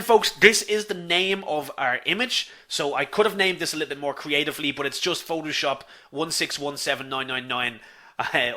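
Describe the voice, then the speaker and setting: A man's voice: on the high side, bright, forceful tone. A man with a Dublin Irish accent teaches a live online class, chatty, calling his viewers folks and reading their comments aloud. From Ireland. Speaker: online instructor.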